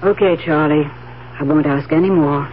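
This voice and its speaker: A woman's voice: low voice, moderate pace, slight background noise, natural voice. Woman playing nervous doubting girlfriend type in seventies radio play, questioning tone, humming muffled recording. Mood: resigned. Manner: anxious, questioning. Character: uneasy, doubting woman, partner of a schemer. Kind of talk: 1970s radio drama acting.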